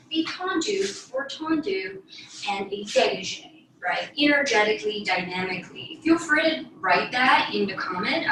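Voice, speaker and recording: A woman with a high voice leads a ballet class at an unhurried, slow pace, cueing each movement with encouragement. She is heard from a distance in a very echoey bedroom, with piano music playing. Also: noisy background. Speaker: ballet teacher. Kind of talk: instructional dance class, calling out steps. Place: bedroom at home. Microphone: camera across the room.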